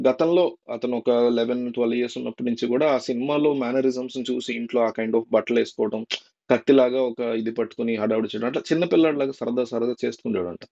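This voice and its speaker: A man's voice: mid-range voice, vocal fry, warm tone, muffled recording. A man giving informal, earnest and opinionated spoken news commentary for a video.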